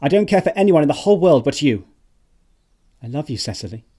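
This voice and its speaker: A woman playing a flippant, lovestruck young gentleman with a suave, theatrical manner in an audio production of a classic comedy. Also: sits low, very animated, fast talker, husky, heavily acted. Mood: ardent, wistful. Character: flippant, ardent young dandy gentleman in love.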